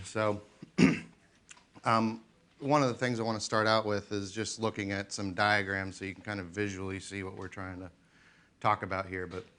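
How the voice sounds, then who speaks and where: A man with a low voice giving a technical conference talk, loose, with many "um"s and restarts. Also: pushed and bright. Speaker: conference presenter. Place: conference room.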